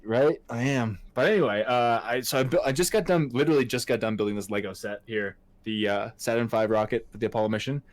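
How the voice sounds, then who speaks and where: A man's voice: mid-range voice, quick pace, few pauses. Twenty-something guy shooting the breeze on a podcast, swears a bunch, laughs a lot. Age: 20s. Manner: loose and profane, laughs often. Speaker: podcast co-host. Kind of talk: casual chat.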